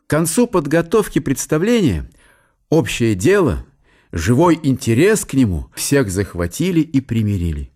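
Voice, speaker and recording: A man reading literary narration for a radio play in an expressive, theatrical storytelling manner. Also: medium pitch, moderate pace. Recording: studio radio play with faint music.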